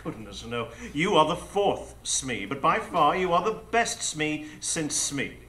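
Casting: grandiose male pirate mastermind, sharp bright voice, mocking and theatrical, live radio drama. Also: on the high side, very animated, measured pace, exaggerated voice. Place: improv theatre stage before a live audience.

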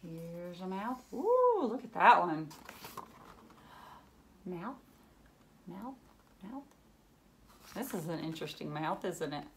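A woman in her thirties reading a children's book aloud like a teacher, with expressive asides and questions to young listeners. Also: fairly low pitch, takes it slowly, pauses often, a little hiss or hum. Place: indoors, living room at home.

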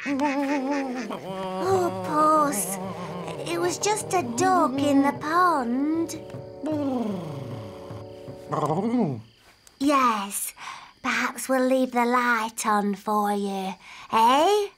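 A performer voicing an expressive, imaginative and bossy little girl princess in a preschool cartoon. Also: high voice, drawn-out words, faint music behind, exaggerated voice. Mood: cautious, reassuring. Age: child. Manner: expressive, childlike, eager.

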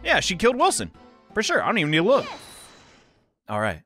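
A man chats through a game in a loose, rambling, self-deprecating way, with a lively voice that jumps up and down in pitch. Faint game music runs under his talk. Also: a little fast. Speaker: let's play host. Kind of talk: casual gameplay commentary.

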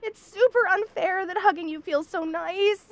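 A woman's voice: extremely high pitch, a little slow. A woman voicing a shy young bunny girl roommate in a romantic comedy audio roleplay, stammering and protesting in a flustered way. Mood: flustered, indignant.

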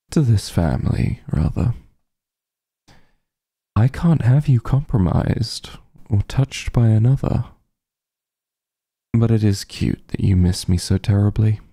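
A man voicing a possessive, teasing vampire mafia heir in an intimate ASMR roleplay, husky and gravelly, with a condescending drawl. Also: fairly low pitch, warm tone, no echo, studio quality, heavily acted. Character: possessive, teasing male vampire mafia heir, coolly menacing yet doting.

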